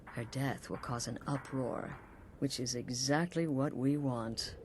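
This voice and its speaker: A sultry, sly woman who leads assassins in a video game. Her voice is very deep, her delivery purring, taunting and theatrical. Faint noise sits behind her. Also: a little slow, darkish tone. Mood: commanding. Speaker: video game character actor.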